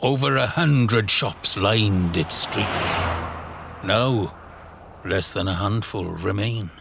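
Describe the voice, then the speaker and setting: A man narrating a horror audio drama in a brooding, theatrical way, in short halting phrases. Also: on the low side, gravelly, noisy background. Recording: music bed under the voice. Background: music.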